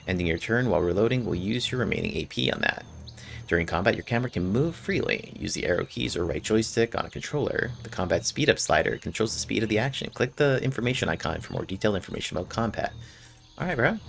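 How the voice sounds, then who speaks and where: Low, creaky-voiced man doing gameplay commentary. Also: lively intonation, fast talker, slight background noise. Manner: informal. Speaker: gameplay video host. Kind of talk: casual gameplay commentary, reading game text aloud. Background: faint game music.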